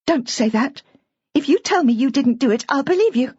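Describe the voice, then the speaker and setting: A woman voicing a forceful young wife who pleads and presses her husband for the truth. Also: sits high, lively intonation, normal speed, no room sound, muffled recording, voice pushed up. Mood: urgent. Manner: urgent, insistent.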